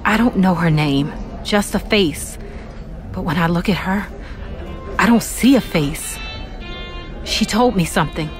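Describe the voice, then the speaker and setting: A woman's voice: on the low side, measured pace, long pauses, lightly acted. An actress playing a guarded, troubled woman in her thirties, speaking tersely and cryptically in a sci-fi audio drama. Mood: guarded, uneasy. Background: music.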